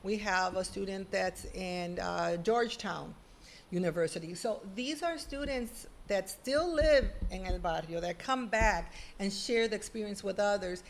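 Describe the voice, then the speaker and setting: A middle-aged woman, a guest on a video-call interview, telling stories in an animated, emphatic way. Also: medium pitch, measured pace, a little hiss or hum. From Puerto Rico. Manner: animated, emphatic storytelling with lively quoted asides. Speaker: guest, former school principal. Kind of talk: conversational interview.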